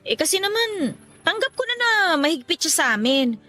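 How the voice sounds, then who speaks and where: A woman's voice: very high-pitched, big pitch swings, a little fast, bright, forceful tone, heavily acted. A woman playing a troubled, indignant young working woman in a Tagalog radio drama, talking in an emotional, aggrieved way. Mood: guilty, conflicted.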